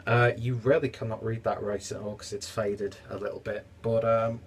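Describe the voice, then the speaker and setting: A man's voice: lowish voice, little pitch movement, moderate pace, a little hiss or hum. A young man with a stuffy, congested voice, casually reviewing a beer at home with rambling asides and lots of fillers. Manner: rambling, chatty, many fillers. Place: home kitchen, indoors.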